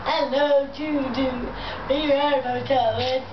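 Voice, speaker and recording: A young woman hosting a homemade puppet show, improvising in a halting, rambling, enthusiastic way. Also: high-pitched, steady tone. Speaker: amateur puppet show host. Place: room in a house. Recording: muffled, distant, noisy echoey home video.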